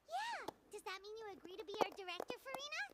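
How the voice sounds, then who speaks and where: Super high squeaky little game sidekick, childlike, bouncing all over, loud noise behind. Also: female voice, big pitch swings, fast. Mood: excited, pushy. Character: tiny, excitable, squeaky childlike companion creature. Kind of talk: acted video game dialogue.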